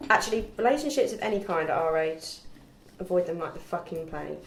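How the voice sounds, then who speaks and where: Woman acting a brash student flatmate, echoey room. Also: on the low side, quick pace, creaky. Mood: blunt, advising. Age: young adult. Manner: bossy, chummy, blunt. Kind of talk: script table read. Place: indoor room at a group read-through. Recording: echoey room, background noise.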